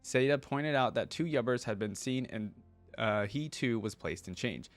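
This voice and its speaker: A man hosting a reaction video, reading a story aloud with animated delivery and breaking off for casual comments. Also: mid-range voice, normal speed, faint music behind.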